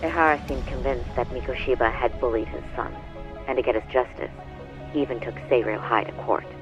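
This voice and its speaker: An earnest lawyer, played by a male actor, speaks in a measured and formal manner, laying things out clearly at a middle pitch. It sounds like a video game cutscene, with music clearly playing behind him. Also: muffled recording, natural voice. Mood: measured, factual. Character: earnest male lawyer briefing a detective colleague.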